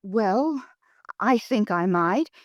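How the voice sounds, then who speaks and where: A woman's voice: medium pitch, expressive, drawn-out words, dry recording, studio quality. An American actress playing a shaken, earnest woman witness in a 1950s radio crime drama, speaking hesitantly. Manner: hesitant, breaking phrases with "well".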